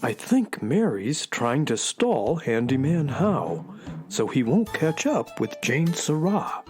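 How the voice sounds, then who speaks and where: An actor playing a mild, dry sidekick in a children's radio drama. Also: male voice, fairly high pitch, big pitch swings, slow pace, a little mellow, soft background music. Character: calm male sidekick, dry and reluctant.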